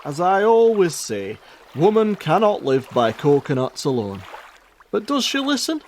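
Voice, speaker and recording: A man's voice: high voice, huge pitch swings, a little slow, slight background noise, heavily acted. A man playing a grumbling, henpecked husband hawking fish on a beach in an amateur radio play.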